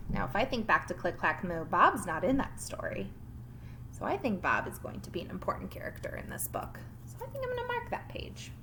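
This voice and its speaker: A woman teacher giving a read-aloud lesson to young children, expressive and instructive, thinking aloud as she goes. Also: medium pitch, fairly quick, background noise. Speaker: primary school teacher.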